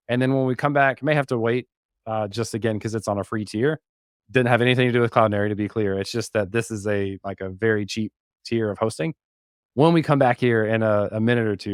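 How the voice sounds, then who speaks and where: A man's voice: mid-range voice, dry recording, studio quality. A man giving a casual, informal live walkthrough of a technical demo, with some self-deprecation. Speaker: podcast host and developer giving a demo as guest.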